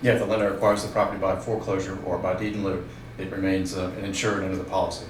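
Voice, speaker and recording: A man's voice: deep voice, level tone, very fast. A man giving informal, matter-of-fact expert answers as a seminar co-presenter, with concise confirmations and corrections. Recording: echoey room with clear background noise.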